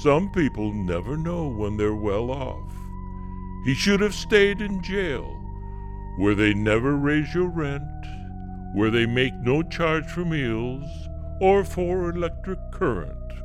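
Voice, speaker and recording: Ghoulishly sardonic, very slow horror host. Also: male voice, fairly high pitch, very animated. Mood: gleefully sardonic. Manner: sardonic, chuckling, drawn-out delivery. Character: gleefully macabre, sardonic male horror-show host. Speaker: host of the mystery show. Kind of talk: old-time radio horror hosting. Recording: vintage radio recording with clear music behind.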